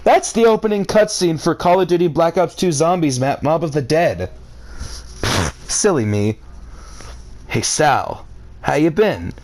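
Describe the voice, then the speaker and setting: A man's voice: on the high side, animated, moderate pace, darkish tone. A man reading a story aloud as a comedic reader, adding exasperated, sarcastic commentary in a theatrical way.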